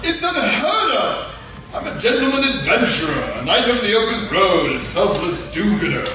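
A man playing a pompous, oblivious heroic gentleman adventurer in comic radio theatre, grand and earnest in manner. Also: high voice, big pitch swings, normal speed. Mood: indignant. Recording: echoey noisy hall, distant mic.

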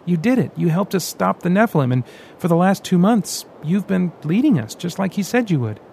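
Guy reading as a hot-headed young rebel fighter, voice jumps way up high, loud and pushy, storybook audiobook. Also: big pitch swings, fairly quick, creaky, slight background noise, heavily acted. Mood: earnest. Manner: agitated, raised voice. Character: young male resistance fighter, quick to anger.

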